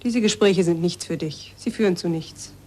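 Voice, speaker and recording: A woman's voice: fairly low pitch, moderate pace, mellow, lightly acted. An actress as a firm, caring woman carer. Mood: concerned, firm. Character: caring, firm woman tending an old man. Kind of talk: 1940s German radio drama acting. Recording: noisy 1940s radio recording.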